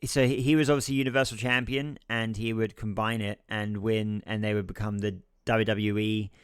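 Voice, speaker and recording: Hoarse man with a smooth, dry voice. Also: on the low side, little pitch movement, normal speed, no echo. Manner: casual, profane, recounting title history. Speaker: podcast co-host. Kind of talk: casual wrestling podcast chat.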